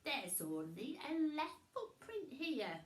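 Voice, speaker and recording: Woman putting on an excitable, high-swooping storybook witch. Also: takes it slowly, echoey room, exaggerated voice. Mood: puzzled, curious. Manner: exclamatory, theatrical, swooping delivery.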